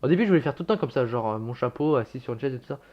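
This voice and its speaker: A man's voice: medium pitch, expressive, very fast, highs cut off. A young man casually reacting to his own old videos, chatty and self-mocking, swearing and laughing at himself.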